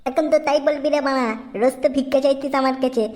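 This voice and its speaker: Comic cartoon lad, played by an actor: high, whiny, crude, forever complaining and blaming. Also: flat delivery, quick pace, darkish tone, close to an everyday voice. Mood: aggrieved. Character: whining, foul-mouthed young slacker, blaming his friend.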